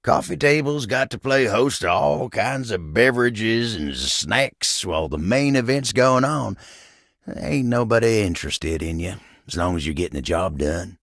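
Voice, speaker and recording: An actor playing a folksy, humble, hardworking cowboy coffee table in a video game, with a gravelly American Southern drawl and an easygoing, genial manner. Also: male voice, fairly low pitch, animated, normal speed, a bit bright, dry recording, clean studio sound. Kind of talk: video game character dialogue.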